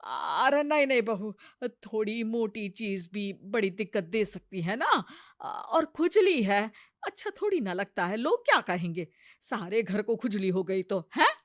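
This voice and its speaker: A woman's voice: medium pitch, very animated, a little slow. A woman playing a stubborn, hot-tempered older village mother-in-law in a comic cartoon, scolding and defiant. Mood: alarmed.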